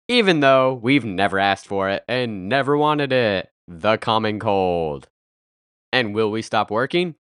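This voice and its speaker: A man in his thirties hosting a podcast, speaking with a raspy, nasal voice from a head cold, earnest with bursts of playful energy. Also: lowish voice, a bit bright, no room sound, clean studio sound. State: congested with a cold, stuffy and nasal. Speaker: podcast host. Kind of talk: scripted podcast monologue.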